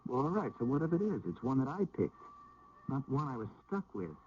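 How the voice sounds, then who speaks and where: An actor playing a cocky, restless young college dropout who talks in needling slang in a radio drama. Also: male voice, medium pitch, very animated, fairly quick, a little mellow, slight background noise, natural voice. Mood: eager, persuasive. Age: young adult. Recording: muffled old radio broadcast.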